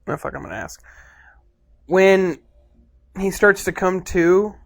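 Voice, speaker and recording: A man's voice: high-pitched, slow, gravelly. A man chatting casually and in slang as co-host of a film commentary. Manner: informal, slangy. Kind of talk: casual film reaction chat.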